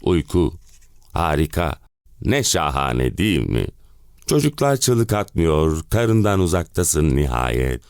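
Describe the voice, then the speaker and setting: A man's voice: rumbling low, very animated, takes it slowly, darkish tone, clear, resonant tone, no echo, clean studio sound, exaggerated voice. A male actor playing an exasperated, self-pitying middle-aged husband in a theatrical monologue, with melodramatic, comic complaining. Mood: weary sarcasm. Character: exasperated, hen-pecked middle-aged husband ranting about summer-house misery. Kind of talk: theatrical one-man play monologue.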